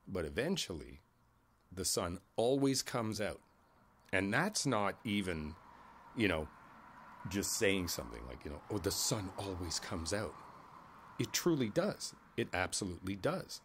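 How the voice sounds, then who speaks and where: A man acts out an older neighbor with a low, deep voice in an intimate ASMR roleplay. He is kindly and world-weary, speaking as if in a private conversation. His delivery is reflective and halting, rambling gently as he offers comfort. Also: heavily acted. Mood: earnest, philosophical.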